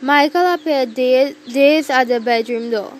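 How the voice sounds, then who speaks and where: Woman narrator, notably high voice. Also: unhurried, a little hiss or hum. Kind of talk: scripted first-person story narration.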